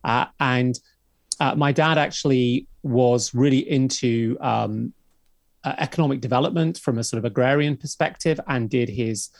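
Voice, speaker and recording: A man of around fifty answers interview questions in a measured, reflective way, often pausing with "uh." His voice sits at a middle pitch, and the recording is dry, with no room echo. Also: creaky. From England. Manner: measured and reflective, many uhs. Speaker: podcast guest.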